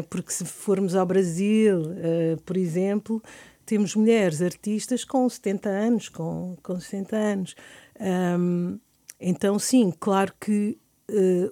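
A woman's voice: on the low side, warm tone, dry recording, studio quality. A woman, a theatre director speaking as a radio interview guest, thoughtful and hesitant, often restarting her sentences. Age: 50s. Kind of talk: radio interview, reflective talk.

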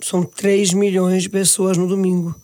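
A woman's voice: lowish voice, little pitch movement, normal speed. A woman from Pará with a Brazilian accent, a guest in an interview, telling stories about her faith with feeling and many restarts. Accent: Brazilian Portuguese. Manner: warm, devout, emotional storytelling with many restarts. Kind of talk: casual interview talk.

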